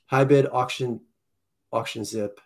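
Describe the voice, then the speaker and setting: A man's voice: mid-range voice, steady tone, a little slow, vocal fry. A man in his thirties as a podcast guest, chatting casually on a video call with a headset mic, often restarting and correcting himself. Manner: rambling, self-correcting, many restarts. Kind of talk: casual chat. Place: home room with posters. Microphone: headset and desk mic, video call.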